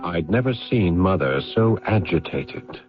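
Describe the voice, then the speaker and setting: An actor playing a worried, placating brother in a radio drama, hesitant in dialogue and narrating the eerie family tale. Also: male voice, sits low, animated, measured pace, music in the background. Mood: uneasy, reflective. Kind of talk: radio drama acting and first-person narration. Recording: muffled vintage radio drama recording.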